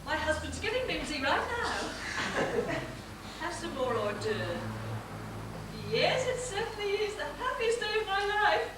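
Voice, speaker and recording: A woman plays a fretful, melodramatic mother of the bride who spirals into panic, her very high voice leaping wildly. She is caught from a distance in a heavily echoing space, with clear noise underneath. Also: big pitch swings, a little slow, pauses often, full character voice. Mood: nervous fretting. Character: frantic middle-aged mother of the bride. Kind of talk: stage comedy acting. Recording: echoey stage recording from far off with noise.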